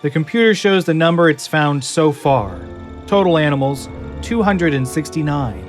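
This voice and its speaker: A man's voice: a little high, normal speed, faint music behind. A man narrating an audio drama in a scripted, dramatic storytelling style.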